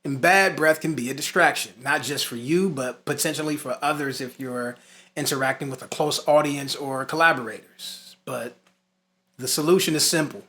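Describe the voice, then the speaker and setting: A man's voice: medium pitch, normal speed, pushed and bright. A man giving an instructional lesson, moving between scripted points and casual, emphatic asides. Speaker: music instructor.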